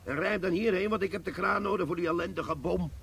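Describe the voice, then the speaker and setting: A man's voice: high-pitched, fast talker, vocal fry, breathy edge, exaggerated voice. A man playing a sly mocking sergeant. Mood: brisk. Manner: teasing, calm, sardonic. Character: sly, mocking male army sergeant, a crook. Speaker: bomb disposal sergeant. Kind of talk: radio play acting.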